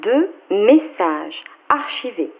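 A woman's voice: mid-range voice, sing-song, slow pace. A woman's automated voicemail announcement heard down a telephone line. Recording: narrow tinny phone line.

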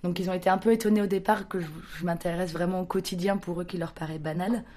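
A woman's voice: lowish voice, fairly even intonation, fast, warm tone. A young woman, a photographer talking about her work in an interview, hesitant with many restarts. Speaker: photographer guest. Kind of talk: casual interview talk.

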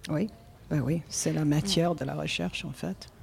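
Woman artist on a panel, lowish raspy voice with lots of fry, thinking aloud. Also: moderate pace, a little mellow. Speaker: artist, calligrapher, panel guest. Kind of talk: reflective panel talk.

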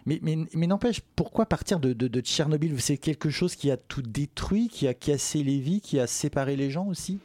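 A man's voice: fairly high pitch, expressive, normal speed, hardly any pauses, dark tone, no echo, clean studio sound. A man interviewing an author in a casual way, often stumbling and repeating words as they go. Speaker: literary interviewer.

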